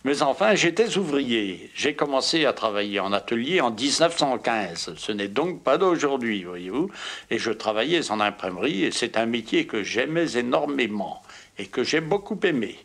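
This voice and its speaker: Older man, mid-seventies, native Breton speaker; steady, formal interviewee, mid-range pitch. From Brittany. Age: 70s. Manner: measured, formal, emphatic. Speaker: interviewee, retired print worker. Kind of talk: interview answers.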